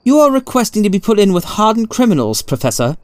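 Sharp detective, played by a male narrator, formal, incisive, thoughtful, animated pitch, crisp, storybook mystery. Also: quick pace, dry recording. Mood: sharp, challenging.

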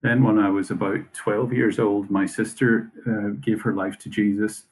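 A man from Northern Ireland with a Northern Irish accent, a guest telling his story in a measured, matter-of-fact way over a video call. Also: lowish voice, fairly even intonation, darkish tone. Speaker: mission worker, guest. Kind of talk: conversational interview answers.

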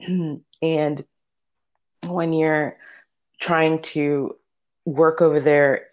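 A woman's voice: deep voice, steady tone, drawn-out words, a little mellow. A woman in her thirties, a native Spanish speaker, chatting informally as an interview guest and telling stories as an opera soloist. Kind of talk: casual conversational storytelling.

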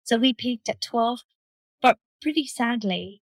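A woman's voice: fairly high pitch, expressive, unhurried, no room sound. Irish woman in her fifties, dry sound. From Ireland. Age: 50s. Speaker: panel guest, engineering director. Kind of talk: conversational interview answers. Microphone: video call.

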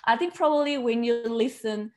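A woman's voice: on the high side, darkish tone. Korean-accented woman telling her story. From South Korea. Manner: earnest, halting non-native English with repeated phrases. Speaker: podcast guest, coach. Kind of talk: personal storytelling in an interview.

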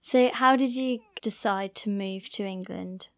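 Slow-spoken female interviewer. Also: medium pitch. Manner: brief agreeing replies, hesitant questions. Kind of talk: interview questions.

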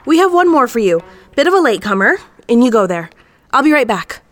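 Lively, higher-pitched usher. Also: female voice, fairly quick. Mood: breezy. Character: brisk, polite female headquarters usher. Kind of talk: comedic audio drama acting.

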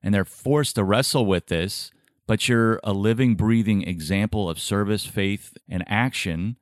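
A man hosting an interview, asking questions in a measured, admiring way. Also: fairly low pitch, dry recording, studio quality. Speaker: interview host.

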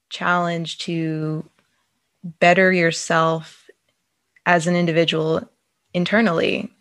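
Husky-edged woman hosting a podcast. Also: on the low side, a bit bright, no room sound. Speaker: podcast host. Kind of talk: interview host.

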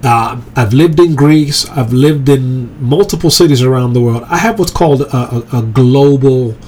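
A man's voice: medium pitch, normal speed, a little mellow, slightly rough. A man who grew up in England, teaching a Bible study in an informal, conversational way and checking in with his listeners as he goes. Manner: conversational, checks in with "okay?" and "right?".

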